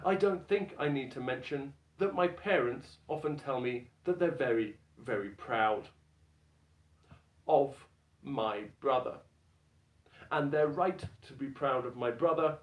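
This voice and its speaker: A man performing a stand-up routine as a dramatic reading, deadpan and self-deprecating, with deliberate pauses. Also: medium pitch. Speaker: stand-up comedian. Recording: roomy echo with faint background noise.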